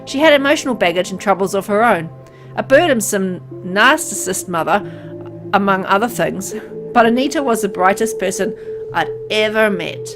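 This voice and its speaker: A woman's voice: mid-range voice, expressive, moderate pace, natural voice. Woman voicing a brooding, dying young man, music behind. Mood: bitter reflection. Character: bitter, resigned twenty-five-year-old dying man, brooding narrator. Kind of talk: dramatic first-person visual novel narration.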